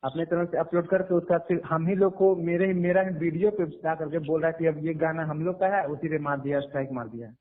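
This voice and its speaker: A man's voice: a little high, steady tone, a little fast, hardly any pauses. A man with a Bihari Hindi accent explaining things in a phone conversation, repeating phrases for emphasis. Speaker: music label owner. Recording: narrow tinny phone line.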